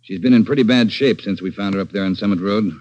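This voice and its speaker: An actor playing a brusque police captain who gives orders in a brisk, commanding way, in 1940s radio acting style. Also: male voice, on the low side, darkish tone, close to an everyday voice. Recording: dull old radio broadcast.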